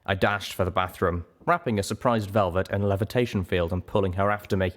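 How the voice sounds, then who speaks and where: A man with a fairly low voice reads fiction aloud in a first-person narrative style. He works as the storyteller, carrying scenes and description. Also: steady tone, fast talker. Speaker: audiobook reader.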